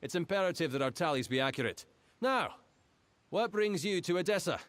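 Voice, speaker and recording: A man's voice: high-pitched, animated, brisk, a bit bright, no room sound. An actor playing an officious, fussy male gnome clerk in a fantasy game, with a pompous, formal manner. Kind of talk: scripted fantasy game dialogue.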